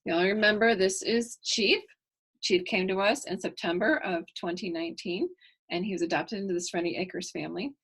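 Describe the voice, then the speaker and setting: A woman's voice: medium pitch, a little fast, a bit bright, breathy edge. A woman reading aloud for children, chatting playfully and directly to young listeners. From United States, Texas. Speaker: storyteller reading picture books aloud.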